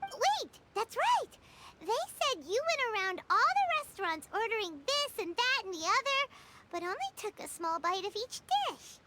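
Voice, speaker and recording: A woman voicing a tiny, childlike, excitable fairy companion in an anime-style video game, with exclamatory speech. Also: moderate pace, heavy background noise. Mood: chatty. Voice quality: squeaky, childlike. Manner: refers to herself in third person, exclamatory.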